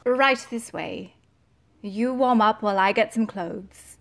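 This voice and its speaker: A woman playing a brisk, self-assured scientist in an audio drama, commanding and matter-of-fact. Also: medium pitch, gravelly, slight background noise. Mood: calm, wry.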